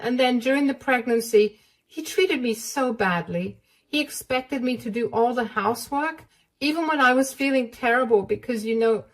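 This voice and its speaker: Young wife, higher voice, frightened, tearful, pleading, as a woman narrator puts her on. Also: lightly acted, low-quality recording. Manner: pleading, shaky.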